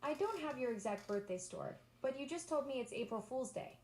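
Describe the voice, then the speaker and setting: Female voice assistant, synthetic, bubbly, enthusiastic, slightly high, echoey room. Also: lively intonation, brisk, darkish tone, slight background noise. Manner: bubbly, enthusiastic, eager to please. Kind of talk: upbeat synthetic assistant chat.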